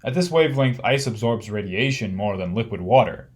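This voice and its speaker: A man narrating an instructional slide lecture, explaining things step by step in measured, clearly organised phrases. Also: lowish voice, expressive.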